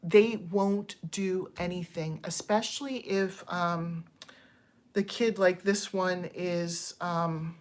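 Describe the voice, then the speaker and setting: Woman, 50s, livestream host; low-ish pitch; candid, rambling, unpolished chat. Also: fairly even intonation, takes it slowly, darkish tone. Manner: chatty and digressive, thanking viewers mid-story; candid, frustrated complaints with frequent ums. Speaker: channel host.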